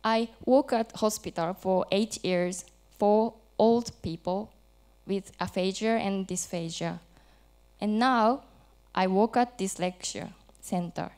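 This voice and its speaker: A woman from Japan with a Japanese accent, in her thirties, giving a conference presentation in halting, simple English with small restarts. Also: mid-range voice, a little mellow, smooth. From Japan, Hokkaido. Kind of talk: academic presentation with light jokes. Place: conference hall.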